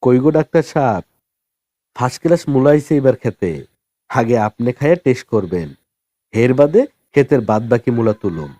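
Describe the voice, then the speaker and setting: A man's voice: medium pitch, lively intonation, normal speed, long pauses, mellow, no room sound, natural voice. Man as a folksy, cheerful villager. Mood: cheerful cajoling. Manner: folksy, familiar, rustic dialect speech. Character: cheerful, cajoling male villager offering garden radishes.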